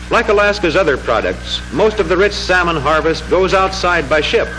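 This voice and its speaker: A man narrating a 1940s educational film in a formal, measured, declamatory manner, reading from a script. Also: a little high, rough recording. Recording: 1940s film soundtrack with music. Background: music.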